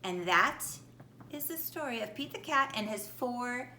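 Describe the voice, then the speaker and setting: A woman reading a children's picture book aloud like a teacher, in a deliberate, expressive manner, putting questions to young listeners. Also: on the high side. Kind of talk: animated children's storybook read-aloud. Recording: echoey room with faint background noise.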